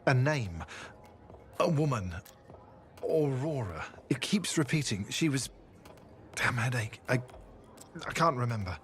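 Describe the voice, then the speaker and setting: An actor voicing a video game's haunted defector, a former state assassin with a halting, brooding, confessional delivery. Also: male voice, medium pitch, lively intonation, a bit bright, a little hiss or hum. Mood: weary, haunted. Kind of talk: video game character dialogue.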